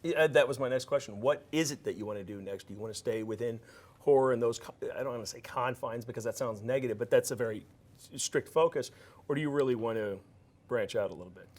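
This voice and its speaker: A man's voice: mid-range voice, fast talker, darkish tone. A man interviewing a guest, chatty and self-deprecating, stumbling over the openings of his questions.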